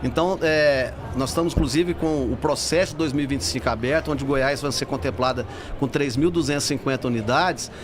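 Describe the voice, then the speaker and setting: A man's voice: medium pitch, a little fast, few pauses, over music. A middle-aged Brazilian man speaking enthusiastically and explaining things in a conversational interview. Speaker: public bank housing executive, podcast guest. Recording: busy trade fair background noise.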